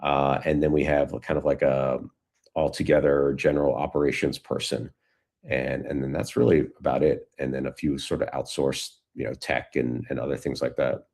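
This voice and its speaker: Man, very low deep voice, casual conversational answers, informal, halting delivery. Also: little pitch movement, gravelly, darkish tone. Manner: informal, halting, thinking aloud. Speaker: guest speaker, community founder.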